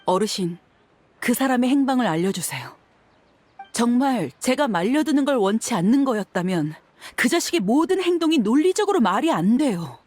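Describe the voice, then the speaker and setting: A woman's voice: fairly high pitch, big pitch swings, normal speed, a little mellow, dry recording. A woman voicing a tough young mercenary in a video game, blunt and firm, proud with a bitter edge. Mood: bitter, angry. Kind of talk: dubbed video game acting.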